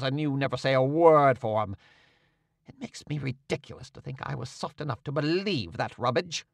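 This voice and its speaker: A man voicing a bullying male swindler who scolds in a gruff, lowered voice. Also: no room sound, clean studio sound, close to an everyday voice.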